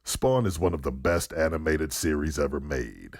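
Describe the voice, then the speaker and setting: A man's voice: a bass voice, normal speed, no room sound, studio quality. A man reading written reviews aloud on a podcast in a scripted delivery. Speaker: podcast review segment reader.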